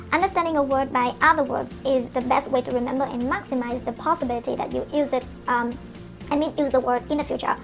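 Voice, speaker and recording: A young Vietnamese-accented woman hosts a solo English-learning podcast, her first language Vietnamese. Her voice sits high with a gravelly fry at the edges, and faint music plays underneath. She sounds earnest and encouraging, filling gaps with "uh" and "um". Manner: earnest and encouraging, with frequent fillers. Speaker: English and IELTS coach, podcast host. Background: faint background music.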